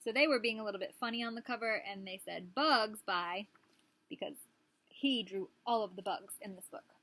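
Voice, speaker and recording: A woman's voice: mid-range voice, moderate pace, bright, forceful tone, no echo. A woman, a preschool teacher, reading a picture book aloud to young children and prompting them with questions.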